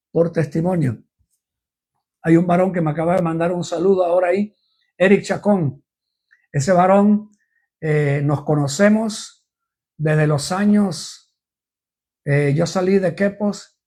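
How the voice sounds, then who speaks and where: A man's voice: a little high, a little slow, pauses often, mellow. An older man from Cuba, preaching informally on a live program, rambling through his testimony and repeating refrains.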